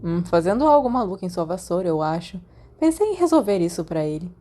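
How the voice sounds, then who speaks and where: A woman's voice: lowish voice, big pitch swings, fairly quick, dark tone. Chill friendly brazilian school kid, a boy, voiced by a woman, breezy, storybook.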